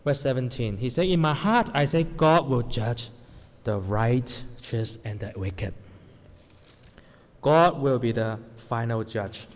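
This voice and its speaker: Man preaching, mid pitch, keeps asking "right?", hall echo. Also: takes it slowly. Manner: non-native English with grammar slips, asks the audience "right?". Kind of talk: sermon to a congregation. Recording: long hall reverb.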